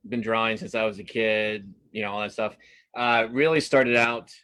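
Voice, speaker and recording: A man with a fairly low voice chats as a guest in a casual interview. His delivery is informal and self-deprecating, like someone loosely telling his own story. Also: fairly even intonation, moderate pace, pushed and bright, smooth. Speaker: guest cartoonist.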